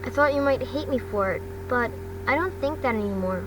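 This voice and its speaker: A woman's voice: on the high side, animated, brisk, natural voice. A woman voicing a vulnerable teenage boy hero student in a fan fiction reading, hesitant and earnest. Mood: anxious. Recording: distant mic, clearly noisy background.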